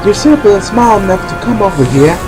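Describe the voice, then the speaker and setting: A sailor played by a man with a high voice, calling out forcefully in an amateur audio drama. He sounds off the mic, with rain, thunder and music behind him. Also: animated, fairly quick, rough recording. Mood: urgent. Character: stranded male sailor calling over a storm.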